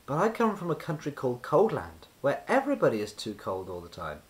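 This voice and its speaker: Small, timid male storybook character, acted by a man reading aloud: puzzled, plaintive, sneezy, with animated pitch swings. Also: fairly quick.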